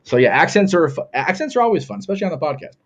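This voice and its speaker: A man talks loosely on a chatty podcast, stumbling over his words, restarting often and swearing in passing. His voice sits at a middle pitch. The sound is dull and muffled. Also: sing-song, very fast. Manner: rambling, stammering restarts, swears casually. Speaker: podcast co-host. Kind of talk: casual chat.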